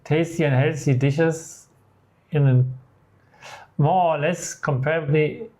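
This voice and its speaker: A man whose first language is German, co-hosting a casual chat and calmly explaining things as he finishes his partner's thoughts. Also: on the high side, takes it slowly. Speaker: channel co-host. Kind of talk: casual conversation.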